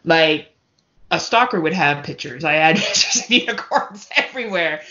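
Lady on a podcast, lowish punchy bright voice, candid and sweary, just chatting. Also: expressive, normal speed. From Canada. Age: 30s or older. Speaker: podcast guest. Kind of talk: casual chat. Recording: dull, lo-fi podcast audio.

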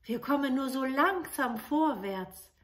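A woman's voice: high-pitched, expressive, slow pace, voice pushed up. Timid young princess played by a female storyteller, anxious, wondering, storybook delivery. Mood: uneasy. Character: timid, uneasy youngest princess in a fairy tale.

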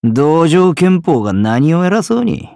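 A man voicing a rough, scornful young assassin swordsman in a video game, with a Tosa accent. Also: on the high side, big pitch swings, takes it slowly, mellow, no echo, studio quality, full character voice. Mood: contemptuous. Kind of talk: video game character voice lines.

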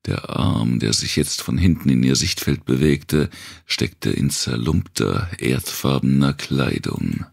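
A man reading scripted narration for a dark thriller audiobook. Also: a bass voice, lively intonation, slow, vocal fry.

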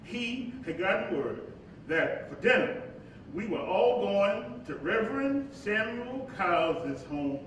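A man's voice: big pitch swings, a bit bright, full character voice. A man in a staged reading playing a preacher's spirit who reminisces fondly, savoring his storytelling. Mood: fond, amused. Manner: slow, savoring storyteller delivery. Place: hall with microphone on stand at lectern. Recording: echoey hall, distant, faint rumbling noise.

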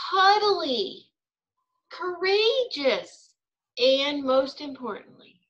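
A woman's voice: sits high, pushed and bright, lo-fi sound, exaggerated voice. A woman acting out a stubborn, exasperated young child in a storybook read-aloud, with emphatic, insistent delivery. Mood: earnest.

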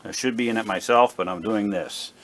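A man giving a casual, unscripted narration at a workbench, plain-spoken and halting as he thinks aloud like a hobbyist repair vlogger. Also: lowish voice. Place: indoor home workbench. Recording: clear background noise, workshop ambience.

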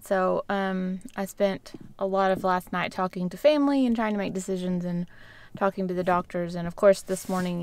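A woman's voice: lowish voice, vocal fry, clear, resonant tone. A woman in her thirties talking to a handheld camera in a casual travel vlog, chatting through plans and practical tips for viewers. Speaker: travel vlogger. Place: in a car and outdoors at castles. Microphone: camera held at arm's length.

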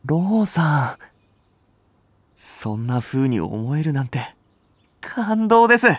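A man's voice: on the high side, big pitch swings, takes it slowly, dry recording, heavily acted. A man voicing an earnest young rookie nursery teacher in a voice drama, polite and enthusiastic. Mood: eager. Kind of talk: Japanese voice drama acting.

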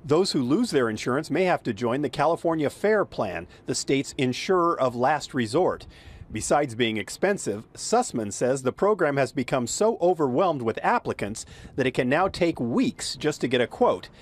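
An older man reporting a local news story in a measured narration. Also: mid-range voice. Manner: measured, lively reporting delivery. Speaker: field reporter.

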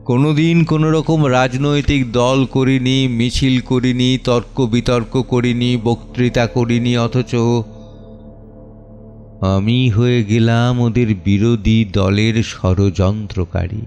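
Audio drama: male actor as a humble, anguished father and office clerk, middle-aged, very unhurried theatrical delivery, mid-range pitch, music underneath. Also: level tone, darkish tone. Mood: bitter, wounded. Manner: theatrical, deliberate, pausing between short phrases. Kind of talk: dramatic monologue in a Bengali audio play. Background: background music.

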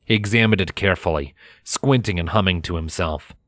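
A man reading scripted fiction as a narrator, measured and expressive in his storytelling. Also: low voice, no echo, clean studio sound. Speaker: narrator of a fan fiction audiobook. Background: music.

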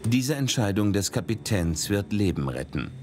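A deep-voiced man reads documentary narration in a measured, grave manner. It sounds like a studio voice-over. Steady dramatic music plays beneath him.

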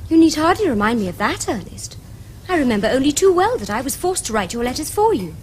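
A woman's voice: high voice, sing-song, quick pace, slight background noise. An actress playing a prim, romantic young Victorian lady in a period comedy, with precise, formal Received Pronunciation. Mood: brisk, assured. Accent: English Received Pronunciation. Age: young adult. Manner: precise, formal, earnest diction. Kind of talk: period stage comedy acting for television.

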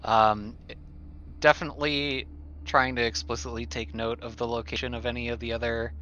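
A man's voice: medium pitch, level tone, normal speed, pushed and bright, highs cut off. A man playing a tabletop roleplaying game, talking casually about what his character does.

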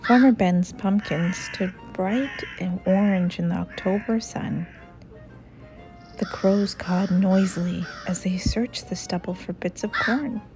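A woman reading a children's picture book aloud in a deliberate, animated storytelling manner. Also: medium pitch, music in the background. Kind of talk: expressive read-aloud narration.

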